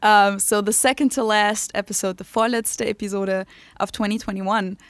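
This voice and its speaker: A woman's voice: mid-range voice, fairly even intonation, moderate pace, smooth, no room sound. Woman podcast co-host, native German, dry sound. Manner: giggly, laughs often between remarks. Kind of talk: casual chat.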